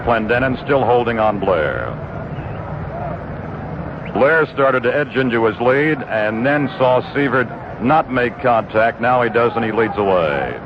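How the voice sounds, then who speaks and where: A man calling live baseball play-by-play for radio, with a steady, measured delivery full of statistics. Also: medium pitch. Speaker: play-by-play announcer. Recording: muffled old 1960s radio broadcast with noise.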